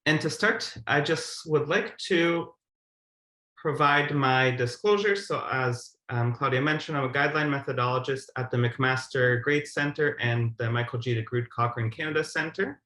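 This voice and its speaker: A man in his thirties gives a prepared webinar presentation in a formal, measured way over a video call. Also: medium pitch, pushed and bright. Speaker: guideline methodologist, webinar presenter. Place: indoor room on a video call. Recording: roomy, echoing webcam audio.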